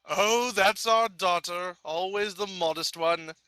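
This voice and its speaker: A man's voice: high-pitched, slow, pushed and bright, clean tone, no room sound, heavily acted. A man voicing a refined, genial stallion father with an upper-class accent and polite manner. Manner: polite, mildly puzzled.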